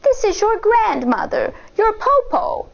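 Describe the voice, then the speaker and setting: Woman acting out cunning old wolf, fake grandmother, raised pitch, sugary coaxing, storybook read-aloud, muffled recording. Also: very animated, a little slow, gravelly, heavily acted. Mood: coaxing, sly.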